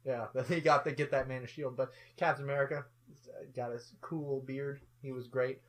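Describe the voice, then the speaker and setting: A man's voice: medium pitch, steady tone, moderate pace. A man co-hosting a casual film review, joking and slipping into silly mocking voices for the characters. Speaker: co-host of a movie review show.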